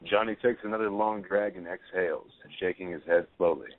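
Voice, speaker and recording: A man's voice: low-pitched, fairly even intonation, a little fast, no echo. A man narrating a play script, reading out the stage directions. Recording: tinny phone-line audio.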